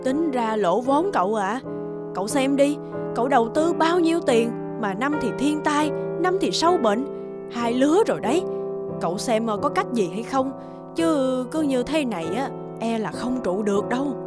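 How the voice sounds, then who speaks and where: A woman's voice: high-pitched, lively intonation, normal speed. A woman playing a worried, practical female farm worker in a cartoon, fretful and plain-spoken. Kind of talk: animated cartoon dialogue. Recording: loud background music under speech.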